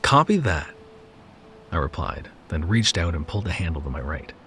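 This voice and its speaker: A man reading a first-person horror story in a slow, hushed delivery that sometimes drops to a whisper. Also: very deep voice, a little hiss or hum.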